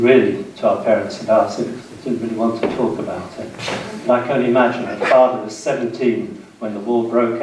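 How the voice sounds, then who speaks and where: A man in his sixties with a British accent gives a public history lecture in a measured way, pausing between short phrases. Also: medium pitch, darkish tone. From United Kingdom, west of London. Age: 60s. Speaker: guest lecturer. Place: indoor seminar room, speaking to an audience. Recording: very echoey room, distant mic, faint noise.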